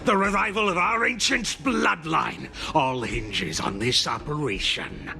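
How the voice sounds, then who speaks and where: An actor playing a scheming, hot-tempered gang leader in a video game, with a gravelly, commanding delivery. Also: male voice, on the high side, lively intonation, measured pace. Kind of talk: dramatic video game acting. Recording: music behind the voice.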